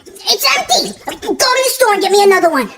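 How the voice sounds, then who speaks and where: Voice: extremely high pitch, big pitch swings, brisk, heavily acted. A performer playing a bratty, defiant kid in a comedic family skit, sassy and taunting. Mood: demanding, cocky. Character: bratty, cocky, defiant kid talking back to parents.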